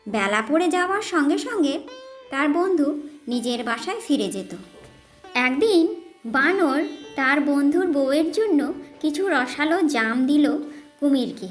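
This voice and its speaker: High-pitched woman telling a children's story. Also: expressive, a little slow, long pauses. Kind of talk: scripted children's story narration. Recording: music behind the voice.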